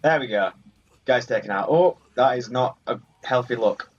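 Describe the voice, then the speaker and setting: A man's voice: medium pitch, moderate pace, breathy edge. A man giving casual, rambling let's play commentary on a video game. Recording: muffled sound, game music behind. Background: video game music.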